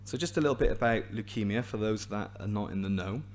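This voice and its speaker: A man with a hoarse, raspy voice giving a scientific seminar to a live and online audience, explaining clearly with a few restarts. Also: lowish voice, fast talker, a little hiss or hum. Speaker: invited seminar speaker, hematologist.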